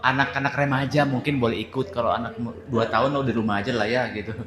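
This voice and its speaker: A man with an Indonesian accent casually moderating a group question session, teasing and quick to chuckle. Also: medium pitch, steady tone, crowd noise. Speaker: moderator of a tour briefing. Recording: echoey room pickup.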